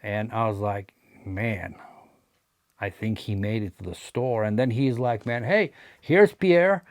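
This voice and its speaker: Latvian guy in his 40s rambling thru a story, lotta ums, lowish voice, slow, dead dry sound. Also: no echo. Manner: rambling, many fillers and restarts. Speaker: vlogger, hat collector. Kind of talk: casual storytelling to camera.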